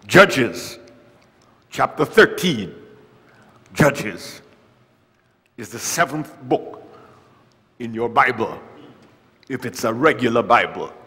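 An older man preaching a sermon at a church lectern, with wry humor, reading scripture loudly and dropping to quiet asides. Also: mid-range voice, lively intonation, slow pace, long pauses, husky. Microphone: lectern mic, far camera. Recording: long church hall reverb.

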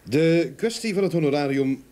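An actor playing a methodical private detective in a Dutch radio play, inquisitive and businesslike. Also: male voice, on the high side, lively intonation, moderate pace, mellow, natural voice. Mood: brisk. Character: probing, methodical male private detective, matter-of-fact. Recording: old radio play recording, background noise.

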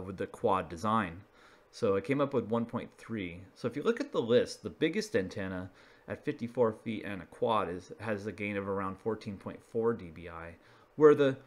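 Low-voiced man giving a casual explanation. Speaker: video presenter, radio hobbyist. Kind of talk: casual explainer talking through slides.

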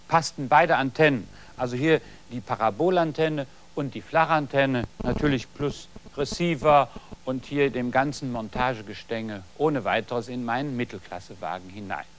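Didactic middle-aged male TV host. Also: mid-range voice. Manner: didactic, addresses viewers directly. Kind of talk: scripted studio presentation. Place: studio set dressed as a garden patio. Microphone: lapel mic.

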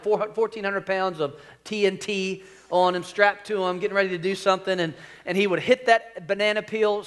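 Man, 40s, senior pastor. High-pitched, folksy, joking sermon delivery from a church stage. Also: a bit bright, clean tone. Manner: folksy and joking, talks to the crowd. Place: large church stage with screens.

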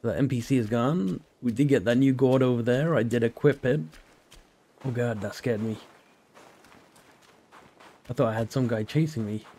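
A man with a sore, slightly hoarse throat, casually commenting on his own gameplay as a channel host. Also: medium pitch, moderate pace, long pauses, a little hiss or hum. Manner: relaxed, chatty, thinking aloud.

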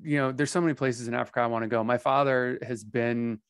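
A man's voice: medium pitch, steady tone, fast talker, smooth, no room sound. An American man in his forties hosts with a mid-range voice, circling his questions with plenty of fillers. The close headset mic keeps the sound dry and near. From United States. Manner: rambling, hesitant, many fillers. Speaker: podcast host. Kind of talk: casual interview conversation. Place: small home studio room.

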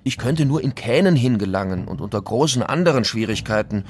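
A man's voice: medium pitch, animated, fairly quick, slight background noise, natural voice. A man reading the part of a Spanish conquistador giving his account of what he witnessed. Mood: reporting, composed. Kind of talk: dramatic reading of historical accounts.

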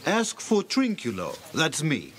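A man's voice: fairly high pitch, huge pitch swings, measured pace, a bit bright, heavily acted. An actor playing a friendly, easygoing male circus animal keeper in an audio drama. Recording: old cassette audiobook recording.

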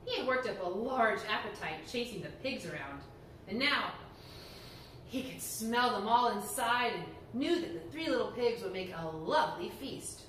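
Young woman, children's storyteller, high pitch, expressive delivery, distant mic, faint noise. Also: moderate pace. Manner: theatrical, expressive, emphatic delivery. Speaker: library storyteller, reader. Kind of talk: animated read-aloud storytelling for children. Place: indoor room before folding screen. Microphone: camera microphone a few metres away. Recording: echoey room, distant mic, background noise.

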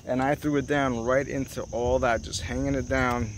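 A man narrating his fishing trip from a small boat on a lake, chatty and excited, talking straight to the camera. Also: medium pitch, moderate pace. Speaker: fishing vlogger. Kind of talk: casual narration while fishing. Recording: faint outdoor noise.

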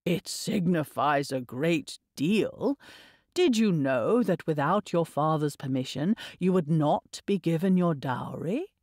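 A woman voicing a stern middle-aged gentleman in an audiobook, measured, reproving and exasperated. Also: low voice, expressive, no echo, clean studio sound, exaggerated voice.

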